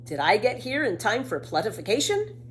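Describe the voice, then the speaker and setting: A woman's voice: a little high, wildly swooping pitch, a little fast, slight background noise, pitched above the performer's own voice. A woman voicing a bold, cheeky little girl in a children's storybook, emphatic and defiant.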